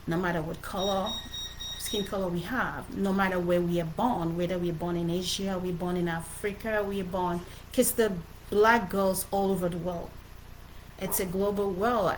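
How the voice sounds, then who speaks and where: A woman's voice: on the low side, slight background noise, poor audio. A middle-aged woman with a Cameroonian accent gives an informal motivational livestream talk as an author, speaking earnestly and emphatically and often repeating phrases. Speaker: author presenting her book.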